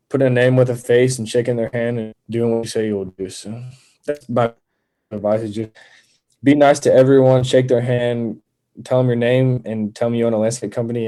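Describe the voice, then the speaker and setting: Young man with a dark, mellow voice. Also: medium pitch. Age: young adult. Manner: informal, earnest. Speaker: podcast guest, landscaping business owner. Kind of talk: casual chat.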